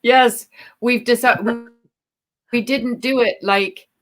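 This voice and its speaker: A woman's voice: on the high side, steady tone, a little slow. A middle-aged woman hosting a livestream, telling stories in a chatty, rambling way with frequent ums. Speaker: livestream host. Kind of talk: casual chat on a livestream.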